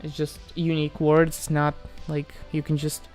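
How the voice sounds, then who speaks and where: A man's voice: fairly high pitch, completely flat delivery, moderate pace, a little mellow, soft background music. A man from Moldova chatting casually on a livestream as he answers viewers, hesitant, often repeating himself and trailing off. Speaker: live streamer answering chat questions.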